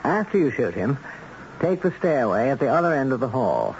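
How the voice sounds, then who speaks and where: An actor playing a polite, coldly businesslike man who arranges killings, in a radio drama, speaking in a measured, commanding way. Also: mid-range voice. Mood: calmly commanding. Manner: courteous, measured, calmly commanding. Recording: dull, noisy old radio recording.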